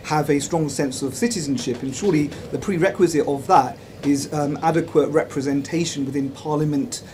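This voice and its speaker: A man's voice: a little high, a little fast, warm tone, slight background noise. A man at a debate arguing his point articulately, with frequent fillers. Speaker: debate attendee. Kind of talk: spoken argument in interview.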